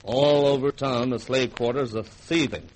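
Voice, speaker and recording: A man plays a colonial governor with a medium-pitched, restrained voice. He speaks formally and deliberately, in vintage radio acting style. The recording carries faint noise. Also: close to an everyday voice, rough recording. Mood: grave, measured. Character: measured, doubtful colonial governor.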